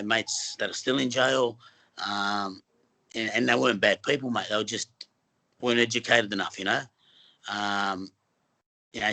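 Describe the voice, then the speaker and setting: A man's voice: fairly low pitch, bright, forceful tone, low-quality recording. A middle-aged Australian man from Western Sydney, speaking as a film actor guest in an earnest, rambling way with many fillers and restarts. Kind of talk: casual interview conversation.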